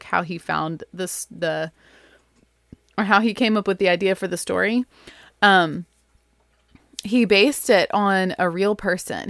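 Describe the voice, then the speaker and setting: A woman's voice: fairly low pitch, no room sound, clean studio sound. A woman casually recounting an author event in a chatty, rambling way with many fillers.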